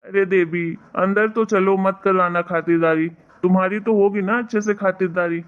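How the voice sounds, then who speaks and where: A woman's voice: medium pitch, fairly even intonation, moderate pace, close to an everyday voice. Woman voicing a good-humoured henpecked husband, dull sound. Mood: joking. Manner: coaxing, joking. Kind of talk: Hindi animated family story dialogue.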